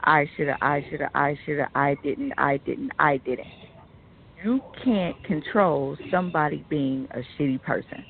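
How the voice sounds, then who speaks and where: A female podcast co-host with a lot of vocal fry. She speaks casually but deliberately, leaning on her points. The audio is dull, with faint noise behind her. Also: sits low. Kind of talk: casual opinionated discussion.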